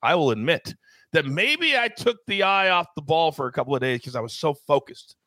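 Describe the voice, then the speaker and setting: Male betting-show host, high-energy and showy, crisp bright tone, dead-dry studio sound. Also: big pitch swings, fairly quick, no echo. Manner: hyped, showy, playful swings in pitch. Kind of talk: sports betting show hosting.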